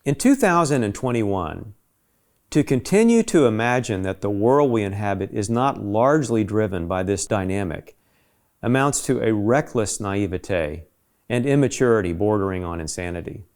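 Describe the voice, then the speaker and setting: A man's voice: fairly low pitch, lively intonation, normal speed, a little mellow. A man reads a scripted essay aloud in an emphatic, rhetorical manner, as if pressing an argument. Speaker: reader of an essay.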